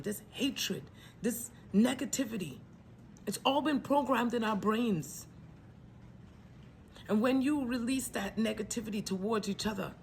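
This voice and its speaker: A woman's voice: mid-range voice, slight background noise. A woman in her forties, from Trinidad, gives a passionate spoken message to her followers. She swears freely, preaches fervently and sometimes drops to a whispered plea. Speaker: spiritual message speaker. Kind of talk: impassioned spoken monthly message.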